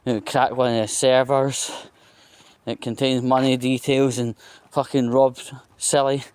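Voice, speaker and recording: Slow-talking Scottish man, rambling. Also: mid-range voice, level tone, a little mellow, smooth, no echo. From Scotland. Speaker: vlogger. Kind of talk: rambling casual monologue, telling an anecdote.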